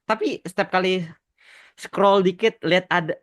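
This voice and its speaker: A man's voice: high-pitched, fairly even intonation, unhurried, a bit bright. A man hosting a casual podcast chat, mixing English phrases into his talk. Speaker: podcast host and animation creator answering fan questions.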